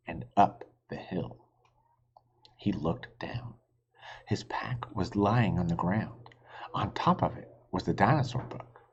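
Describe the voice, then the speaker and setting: A man's voice: lowish voice, darkish tone. A man reading a children's storybook aloud expressively, like a teacher, on a slightly muffled recording. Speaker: reader, teacher.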